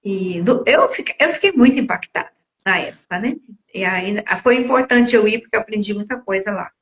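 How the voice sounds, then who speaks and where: A woman's voice: mid-range voice, lively intonation, fairly quick, a bit bright, echoey room. A Brazilian woman in her sixties reflecting casually in conversation as a podcast guest, searching for words as she goes. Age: 60s. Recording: slightly dull lo-fi audio.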